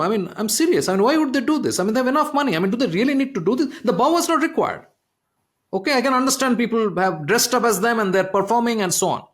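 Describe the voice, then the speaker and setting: A middle-aged man, a podcast host, telling a story in an animated way and often asking "okay?". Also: sits high. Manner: animated, repeats "I mean" and "okay?". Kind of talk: conversational storytelling.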